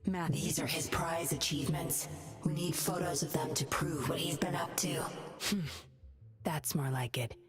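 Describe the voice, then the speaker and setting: Low-voiced, scheming young woman. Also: normal speed, husky, faint music behind. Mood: cool, focused. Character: mysterious, scheming young woman, cool undercover agent. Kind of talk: video game voice acting.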